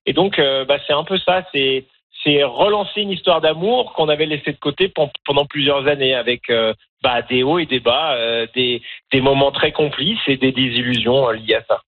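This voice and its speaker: A man's voice: medium pitch, normal speed. A man from France with a French accent, a documentary filmmaker speaking as a guest in a radio interview, hesitant and reflective with many fillers. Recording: tinny phone line.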